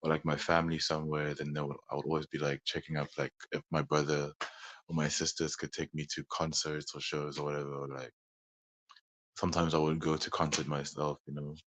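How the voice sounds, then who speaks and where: Man musician guest, extremely low voice, loose hesitant answers, dry close sound. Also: little pitch movement, a little fast, fairly bright tone, no echo. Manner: meandering, hesitant, full of "like" and "you know". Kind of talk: casual interview talk.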